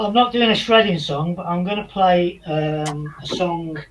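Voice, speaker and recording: A man guest musician in a casual chat, chatty and quick to laugh. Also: high-pitched, far from the mic, poor audio.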